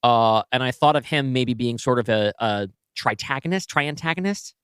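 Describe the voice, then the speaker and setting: A man's voice: medium pitch, animated, normal speed, fairly bright tone, clean tone, no room sound, clean studio sound. A man co-hosting a podcast, discussing story plans in a casual, conversational way. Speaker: podcast co-host.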